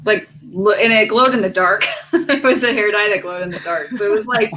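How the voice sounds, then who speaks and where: A woman's voice: medium pitch, brisk, fairly bright tone, rough recording. A young woman chatting casually on a video call, giggling and filling her talk with "like". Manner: giggly, fills with "like". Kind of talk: casual chat.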